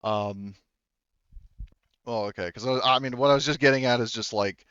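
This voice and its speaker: Smooth-voiced man running a tabletop game. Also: medium pitch, normal speed, fairly bright tone, no echo, muffled recording. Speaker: game master. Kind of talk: casual tabletop game narration and chat.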